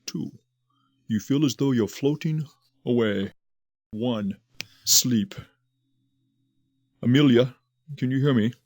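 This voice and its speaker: An actor playing a calm, reassuring hypnotist doctor in an audio drama, with a measured, soothing, commanding delivery. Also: male voice, mid-range voice, no room sound, clean studio sound. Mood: probing.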